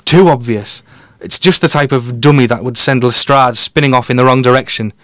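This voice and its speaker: A man's voice: mid-range voice, brisk, fairly bright tone, no echo, lightly acted. Man playing a confident male detective, dry close sound. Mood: assured, explaining. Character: confident, quick-witted male amateur detective explaining his deductions. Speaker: podcast guest detective. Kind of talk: conversational audio drama dialogue.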